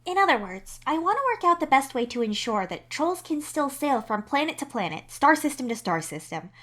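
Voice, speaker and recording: A woman voicing a young female alien troll leader in an audio story, earnest, upbeat and briskly in charge. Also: high voice, a bit bright, pitched above the performer's own voice, heavily acted. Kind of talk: podfic character acting.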